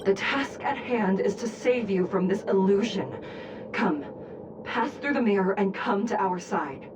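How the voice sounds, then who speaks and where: A woman playing a composed, commanding judge in a video game, stern but reassuring, speaking in a formal, measured, authoritative way. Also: medium pitch, expressive, noisy background, close to an everyday voice. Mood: urgent, composed. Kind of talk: video game character dialogue.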